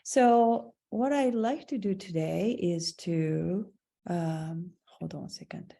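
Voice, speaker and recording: Gravelly low woman with a Japanese accent. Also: dark tone. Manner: halting, searching for words. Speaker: artist presenting her work. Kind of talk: online slideshow presentation. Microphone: video call.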